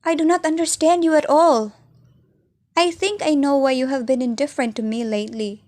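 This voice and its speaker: A woman's voice: high-pitched, fairly even intonation, fairly quick, darkish tone, dry recording, clean studio sound, close to an everyday voice. A woman playing a hurt, jealous young fiancée in an amateur radio drama, speaking earnest, reproachful lines. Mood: hurt, accusing.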